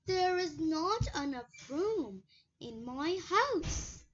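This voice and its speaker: A young-sounding reader voicing a grumbling, exasperated little old lady from a storybook, with pleading, drawn-out exclamations. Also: very high voice, sing-song, a bit bright, dull audio, slight background noise, heavily acted.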